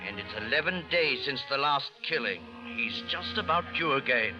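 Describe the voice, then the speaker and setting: An actor in a 1940s radio drama playing a brisk, authoritative police inspector, decisive and grave. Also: male voice, mid-range voice, expressive, music in the background, close to an everyday voice. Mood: grave, urgent. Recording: dull old radio recording.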